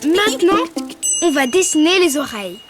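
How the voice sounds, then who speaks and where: Woman doing a young boy bird, preschool cartoon, chirpy, encouraging, very high, loud music behind. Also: big pitch swings, moderate pace. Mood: upbeat. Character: cheerful young male bird who teaches drawing. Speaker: drawing guide. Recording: loud background music track.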